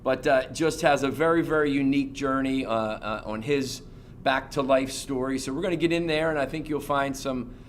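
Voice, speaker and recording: A man's voice: medium pitch, normal speed, a little hiss or hum. A man hosting a podcast, upbeat and encouraging, welcoming listeners and leading an interview with short affirming replies. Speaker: podcast host.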